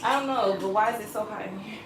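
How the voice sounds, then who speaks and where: A young woman bantering with her mother, sassy and quick with her comebacks. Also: mid-range voice, crowd noise, low-quality recording. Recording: loud background hiss and clicks.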